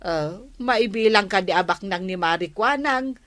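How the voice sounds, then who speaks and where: Slow, patient village captain played by a woman. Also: medium pitch, animated, fairly bright tone, no room sound, clean studio sound. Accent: Filipino. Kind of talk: Filipino radio-style comedy drama acting.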